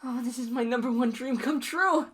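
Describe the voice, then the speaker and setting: A woman voicing an obsessive, clingy young lover in an audio roleplay, speaking softly and pleadingly in a husky, whispery voice to the listener. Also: a bass voice, lively intonation, moderate pace, full character voice. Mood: giddy overjoyed.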